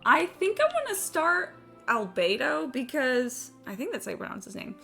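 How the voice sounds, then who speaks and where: A woman's voice: high-pitched, very animated, moderate pace, gravelly, pushed and bright. A woman chatting casually on a gaming livestream in a rambling, sweary, self-deprecating way. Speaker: live game streamer. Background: game music.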